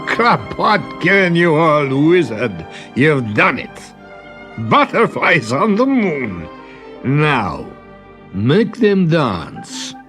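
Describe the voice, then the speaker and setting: An imperious, excitable storybook prince played by a male narrator declaims in a raised, booming voice. He stretches his words grandly and slowly, full of glee. Music plays behind him. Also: full character voice. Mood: gleeful, commanding. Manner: grand, drawn-out, roaring declamation.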